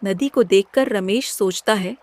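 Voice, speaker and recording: A woman's voice: mid-range voice, a little slow, a little mellow, slight background noise. A woman narrating a scripted moral story as a storybook narrator.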